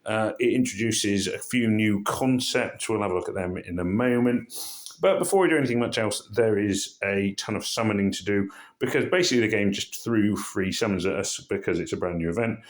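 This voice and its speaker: A man with a hoarse, croaky voice, worn down by a cold. His voice sits a little low, and he rambles through casual, self-deprecating commentary as he plays a mobile game. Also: brisk. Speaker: game channel host.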